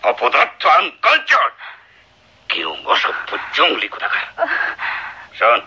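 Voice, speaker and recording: An actor in a Bengali radio play playing a cruel, scornful husband with a menacing, taunting delivery. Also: male voice, mid-range voice, wildly swooping pitch, unhurried, bright, forceful tone, slightly rough, full character voice. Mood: scornful, furious. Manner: theatrical, menacing, taunting interrogation. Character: cruel, domineering, abusive husband, scornful and deceitful. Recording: dull lo-fi radio drama recording.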